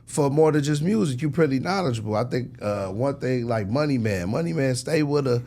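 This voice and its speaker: A man's voice: medium pitch, animated, fairly quick, mellow. An American man giving casual, emphatic, preachy advice as an interview guest, often checking the listener follows with tag questions. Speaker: music industry executive, interview guest.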